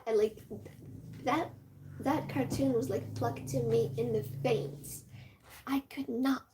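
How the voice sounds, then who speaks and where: A young woman from Morocco with a Moroccan accent, Amazigh her first language, chatting casually and excitably, rambling with many fillers and dropping into whispers. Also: sits high, slight background noise, rough recording. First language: Amazigh (Tamazight). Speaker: vlogger.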